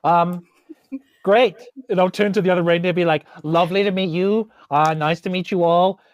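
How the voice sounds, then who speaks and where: A man, improvising casual role-play in a halting, politely apologetic way. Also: sits high, fairly even intonation, no echo, lo-fi sound. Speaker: tabletop game player.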